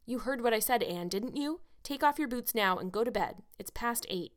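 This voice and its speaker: A woman voicing a stern, sharp-tongued older spinster who scolds a child. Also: fairly high pitch, lively intonation, normal speed, pitched above the performer's own voice, lightly acted. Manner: curt, sarcastic, scolding.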